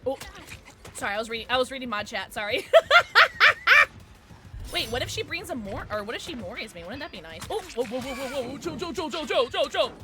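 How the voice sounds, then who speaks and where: Woman, streamer, alto voice, sarcastic, very animated, fairly high pitch. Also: fairly quick, pauses often, music in the background. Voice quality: low alto speaking voice. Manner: chatty, sarcastic, reacts to chat with sudden squeals and laughs. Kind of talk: casual livestream chat while gaming.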